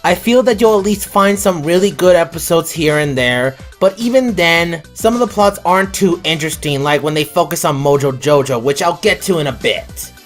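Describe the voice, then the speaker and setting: An informal, opinionated reviewer reads a scripted review. The pitch sits in a middle range, and music plays behind the narration. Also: expressive, moderate pace, hardly any pauses. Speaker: video reviewer and host.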